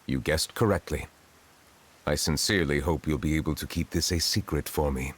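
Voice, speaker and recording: Game casting: stately dragon ruler, very deep bass, formal, reserved, grave and courteous. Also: male voice, moderate pace, a little hiss or hum. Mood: courteous, calm. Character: dignified male water dragon sovereign in human guise, solemn. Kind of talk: English video game voice acting. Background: game music.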